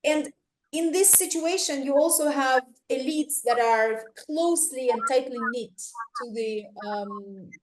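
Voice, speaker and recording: A woman's voice: sits high, a little slow. A woman moderating a panel, framing a long question with hedges and fillers. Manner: wordy, hedging question with fillers. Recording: echoey room.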